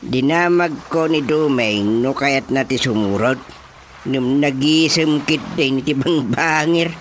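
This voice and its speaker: A man playing a stubborn old husband in an Ilocano radio comedy-drama, with an insistent, expressive delivery. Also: mid-range voice, slow pace, slight background noise, natural voice. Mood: determined. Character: stubborn old husband longing for a quiet place.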